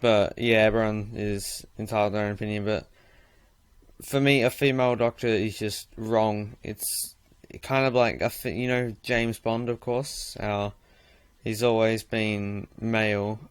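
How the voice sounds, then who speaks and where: A man hosts a casual podcast, speaking plainly and directly as he asks questions and shares his views. His voice is lowish, smooth and clear. The sound is dry, with no room echo. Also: steady tone, measured pace. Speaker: podcast host. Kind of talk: casual interview chat.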